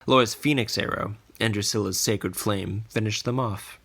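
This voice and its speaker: A man narrating a scripted fantasy story in a measured, deliberate way. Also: fairly low pitch, fairly even intonation, a bit bright, husky. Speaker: podcast narrator.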